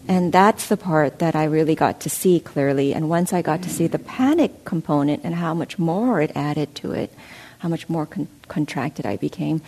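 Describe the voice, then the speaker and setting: A woman meditation teacher calmly answering a student's question in class, explaining gently with pauses. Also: lowish voice, husky. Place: meditation center hall.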